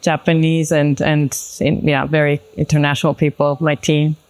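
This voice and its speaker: Smooth-voiced woman from Switzerland. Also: deep voice, little pitch movement, moderate pace, darkish tone. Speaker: panel guest, craft brand founder and designer. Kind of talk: conversational self-introduction in a panel talk.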